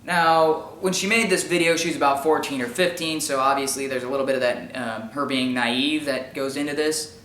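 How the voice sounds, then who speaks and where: A man with a high-pitched voice giving informal opinion commentary in an explanatory, argumentative way with emphatic repetition. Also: steady tone, normal speed, pushed and bright, a little hiss or hum. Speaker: commentary show host. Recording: echoey room sound.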